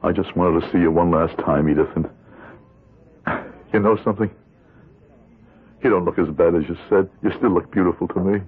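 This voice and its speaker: An actor playing a weary, pleading older ex-convict in a vintage radio drama, with a husky voice. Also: male voice, low-pitched, fairly even intonation, moderate pace, pauses often, natural voice. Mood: tender, weary. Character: weary gray-haired ex-convict, broken and pleading. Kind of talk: 1970s radio drama acting. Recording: tinny, band-limited old radio broadcast.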